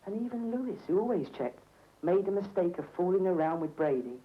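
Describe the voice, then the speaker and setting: An actor playing a tired, frank soldier arguing about blame in a 1970s army training film. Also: male voice, sits high, sing-song, fairly quick. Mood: reflective, reproachful. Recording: echoey, muffled old film soundtrack.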